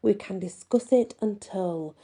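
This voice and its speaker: Very mellow, soft-toned woman reading aloud. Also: mid-range voice, measured pace, husky. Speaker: host and reader. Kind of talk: reading a folklore book aloud with casual asides.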